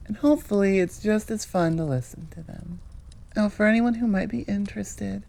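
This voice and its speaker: A woman's voice: mid-range voice, normal speed, creaky, dark tone. A woman hosting her audio channel, chatting casually to listeners in an enthusiastic, rambling way and often interrupting herself. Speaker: audio roleplay creator and host.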